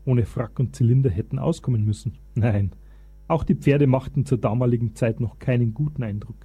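Man playing eager bavarian know-it-all husband in a radio comedy, very dark husky voice, pompous and long-winded. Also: medium pitch, brisk. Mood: mock-scholarly. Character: eager, pedantic husband lecturing as self-styled horse expert. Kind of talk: comic radio play dialogue.

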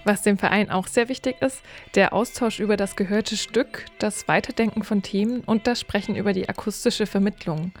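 Woman reporter reading a scripted radio piece, middle pitch. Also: normal speed, few pauses, soft background music. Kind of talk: scripted radio feature narration.

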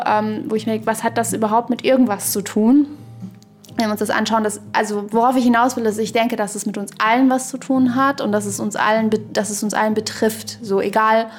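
Woman thinking aloud over background music. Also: mid-range voice. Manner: searching, rambling, trails off mid-thought. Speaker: podcast co-host. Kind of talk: casual podcast discussion.

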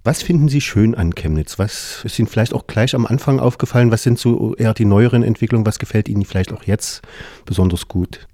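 A man asks calm, steady questions for a radio program, often stacking several in a row. His voice has a warm, mellow tone. The sound is dry and close. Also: lowish voice, brisk, no room sound. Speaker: radio interviewer.

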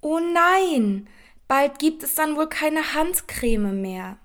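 A woman's voice: sits high, lively intonation, takes it slowly, a bit bright, heavily acted. A woman playing a gushing social media follower who reads her comments and emojis aloud. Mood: dismayed.

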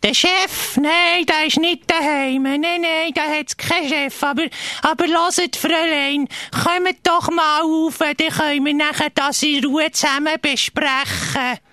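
A woman's voice: high-pitched, fairly even intonation, a little slow, bright, forceful tone, dry recording, studio quality. A woman playing a friendly, lonely woman in a live radio play, with a patient, insistent manner. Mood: welcoming.

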